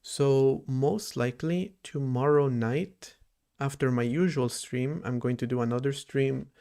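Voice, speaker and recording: A man chats casually on a live coding stream, his voice gravelly with creak at the ends of phrases. He rambles and thinks aloud, drifting between his code and the viewers' messages. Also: mid-range voice, measured pace, dark tone. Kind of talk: casual livestream chat while coding.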